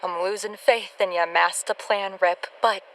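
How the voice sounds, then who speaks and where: A woman's voice: medium pitch, steady tone, unhurried, a bit bright, far from the mic, a little hiss or hum. A woman playing an exasperated adventuring companion in a radio play, dry and long-suffering in manner. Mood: weary, sardonic. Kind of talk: radio adventure serial acting.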